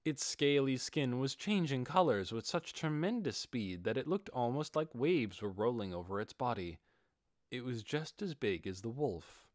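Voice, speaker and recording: A man's voice: mid-range voice, expressive, normal speed, dry recording, clean studio sound. A man reading scripted fantasy fiction as an audiobook narrator.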